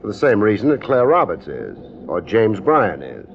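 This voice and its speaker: An actor playing a wry, hard-boiled private investigator in a 1950s radio drama, with a dry, laconic delivery. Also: male voice, fairly low pitch, huge pitch swings, moderate pace, gravelly, slight background noise, heavily acted. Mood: cool, accusing. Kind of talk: 1950s radio detective drama acting and narration. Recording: dull 1950s radio recording, music behind.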